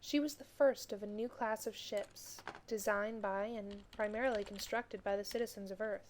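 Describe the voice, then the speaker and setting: An earnest female space officer voiced by an actress narrates an audio drama. Her delivery is measured and wistful, in a mid-range voice. There is some faint noise with clicks and typing behind her. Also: mid-range voice, steady tone, lightly acted. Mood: measured, reflective.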